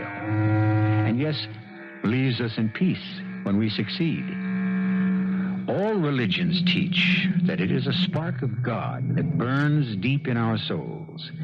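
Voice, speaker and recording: Slow, portentous male radio mystery host. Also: medium pitch. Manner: deliberate pauses, rhetorical, portentous. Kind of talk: 1970s dramatic scripted radio narration. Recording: dull vintage radio recording. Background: dramatic music.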